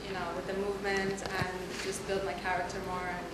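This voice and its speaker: A woman from Afghanistan, one of the cast, hesitantly searches for words as she answers an audience question. She speaks in a flat, even tone, heard in a very reverberant hall with loud room noise. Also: medium pitch, fairly quick. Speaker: cast member.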